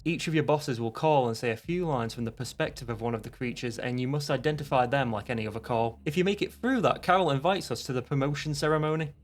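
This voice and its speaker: A man with a Northern English accent reads a scripted video essay in a dry, wry way, with casual, sweary asides. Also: mid-range voice. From Northern England. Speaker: video essayist reviewing a game.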